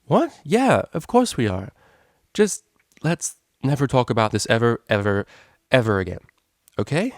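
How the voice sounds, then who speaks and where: A man's voice: medium pitch, very animated, unhurried, no room sound, studio quality. A man voicing a brash young female unicorn DJ, playful and easily flustered, in slangy exclamations. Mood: indignant.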